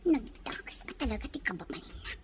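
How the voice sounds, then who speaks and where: A woman's voice: a little high, sing-song, quick pace, noisy background. A woman playing a frightened, submissive young housemaid pleading in an audio drama.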